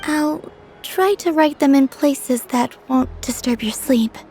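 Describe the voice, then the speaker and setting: An actress playing a gentle, wistful young moon maiden in a video game, speaking in a soft, earnest, delicate way. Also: female voice, high-pitched, slow pace, soft background music. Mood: calm, tender. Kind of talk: video game cutscene voice acting.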